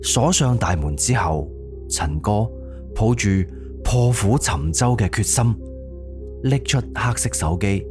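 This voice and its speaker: Slow, low-voiced Cantonese male narrator. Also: lively intonation, music in the background. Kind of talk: scripted suspense horror narration, audio drama.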